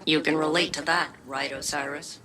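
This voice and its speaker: Woman voicing a low-voiced, sardonic companion. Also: fairly even intonation, normal speed, close to an everyday voice. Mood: teasing. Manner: sardonic, casual. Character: wry, sarcastic female companion, cool and needling. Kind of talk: video game cutscene acting.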